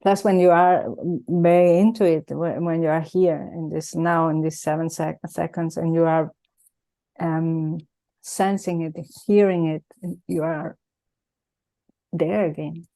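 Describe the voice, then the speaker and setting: A woman with a mixed Venezuelan Spanish accent, Italian by first language, hosting a reflective conversation over a video call and searching gently for her words. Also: sits low, little pitch movement, measured pace. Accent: Spanish, Venezuelan mix. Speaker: host. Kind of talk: unscripted heartfelt conversation.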